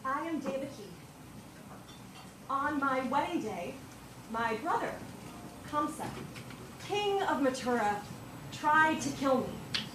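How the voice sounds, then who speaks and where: A woman playing a grieving queen who tells of her brother's cruelty in a solemn, measured stage monologue. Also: sits high, animated. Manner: solemn and measured, building in intensity. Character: grieving young queen Devaki recounting her suffering. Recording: very echoey hall from far off, noise.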